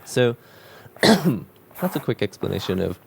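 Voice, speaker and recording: A man with a cold-croaked voice talking casually and reflectively while drawing, musing with loose restarts. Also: medium pitch, big pitch swings, slow pace. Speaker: art vlogger drawing on camera.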